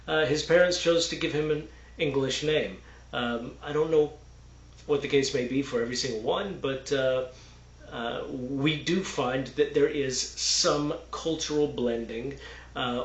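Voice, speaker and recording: Texas-raised man, mid pitch, halting unscripted explainer, dull roomy sound. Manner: halting, many fillers and restarts, explains with "okay" and "right". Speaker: religious commentator. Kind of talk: unscripted video monologue.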